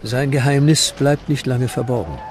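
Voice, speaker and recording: A man's voice: mid-range voice, normal speed, a little mellow, soft background music. A man reading scripted narration for a historical documentary.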